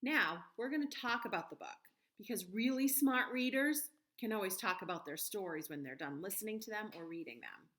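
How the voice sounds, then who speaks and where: A woman's voice: mid-range voice, lively intonation, brisk, hardly any pauses, a bit bright. An American woman in her thirties, a teacher reading and talking to young children in simple, guiding phrases. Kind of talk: picture-book read-aloud and lesson for children.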